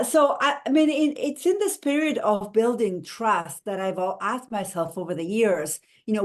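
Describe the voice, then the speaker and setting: A middle-aged woman with an Argentine Spanish accent talks through her ideas on a call. She explains earnestly at a middle pitch, sometimes restarting a phrase before she finds the words. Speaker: guest, marketing strategist. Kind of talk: interview over a call.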